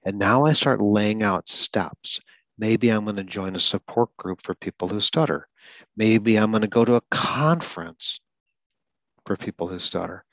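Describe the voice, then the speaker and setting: A man with a very low voice talks through a goal-setting exercise in an earnest, coaching way. Now and then he repeats a word or restarts. The sound is dry and close, with no room around it. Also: a little slow, fairly bright tone, no room sound. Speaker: podcast host and stuttering advocate. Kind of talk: solo podcast explanation.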